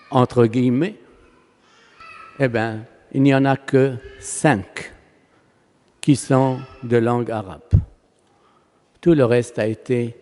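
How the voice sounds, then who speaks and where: Slow Palestinian priest preaching in reverberant church. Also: male voice, mid-range voice, a little mellow. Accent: Palestinian Arabic. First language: Arabic. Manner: oratorical, emphatic, with rhetorical questions and pauses. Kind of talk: sermon. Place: large church, preaching to a congregation. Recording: long reverberant church hall echo.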